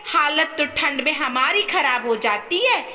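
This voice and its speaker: A woman's voice: high voice, animated, measured pace, sharp tone, exaggerated voice. A woman storyteller voicing a bossy, stingy old mother-in-law who taunts and commands in a family storybook tale. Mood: taunting complaint. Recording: long reverberant echo on voice.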